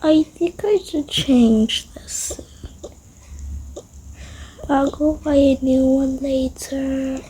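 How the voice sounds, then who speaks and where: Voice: sits high, fairly even intonation, very slow, long pauses, mellow, a little hiss or hum. A player improvising a roleplay story over a game, murmuring along as if talking to themself. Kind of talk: improvised roleplay narration over a toy-house game, voicing family members.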